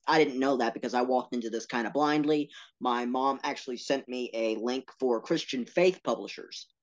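A man talking as a podcast guest about his work, earnest and animated, with playful asides. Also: medium pitch, moderate pace, dull audio. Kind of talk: casual interview talk.

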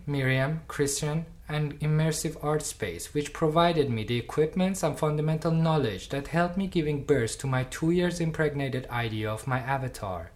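Measured speaker. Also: medium pitch. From Iran. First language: Farsi. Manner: measured, personal and earnest. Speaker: artist and doctoral researcher. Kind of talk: reflective lecture performance.

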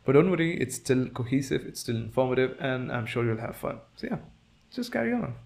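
A man's voice: mid-range voice, a little fast, vocal fry. A man hosting a tech podcast who opens the show energetically and chats with a guest. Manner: upbeat, energetic intro. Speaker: podcast host.

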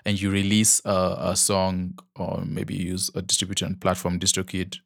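A young man with a fairly low voice co-hosts a podcast in loose, casual chat. He rambles, backtracks and fills pauses with ums. The recording is close and dry, with no room sound. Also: monotone, vocal fry, no room sound. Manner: rambling, many ums and restarts, laughs easily. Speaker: podcast co-host.

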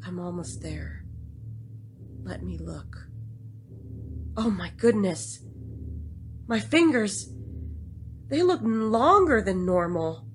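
A woman's voice: mid-range voice, animated, slow pace, long pauses. A woman playing an awestruck character in an audio drama, wondering aloud at her own strange transformation. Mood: awestruck, dazed. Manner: wondering, self-narrating. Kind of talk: amateur audio drama monologue. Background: music.

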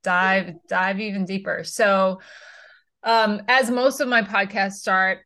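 A woman's voice: mid-range voice, fairly even intonation, takes it slowly, pushed and bright. A middle-aged woman hosting a podcast interview, chatty and upbeat with frequent fillers. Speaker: podcast host.

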